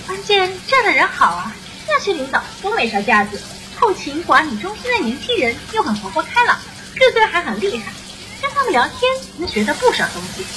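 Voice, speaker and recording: A woman's voice: very high-pitched, sing-song, fairly quick, noisy background. An actress playing a cheerful, grateful rural mother from Henan who helps in a canteen, eager and plain-spoken, in a radio drama. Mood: friendly, grateful. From Henan, China.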